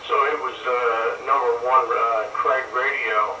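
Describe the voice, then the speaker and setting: A man with an average-pitched voice chats casually, rambling and often stopping to find his words. He sounds distant and echoey over a CB radio, with clear noise around him. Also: fairly even intonation. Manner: halting, rambling delivery. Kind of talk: casual radio hobbyist chat.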